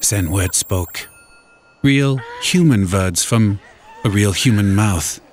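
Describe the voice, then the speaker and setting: A man's voice: fairly low pitch, animated, takes it slowly, a little mellow, a little hiss or hum. A man narrating a first-person horror story in a dramatic, scripted storytelling style. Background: music.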